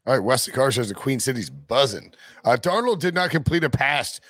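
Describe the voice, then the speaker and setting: A man hosting a sports podcast with a raspy, hoarse voice, steering the talk and sending it to breaks. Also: mid-range voice, very animated, fairly quick, fairly bright tone, no echo, studio quality. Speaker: podcast host. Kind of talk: casual sports chat, hosting.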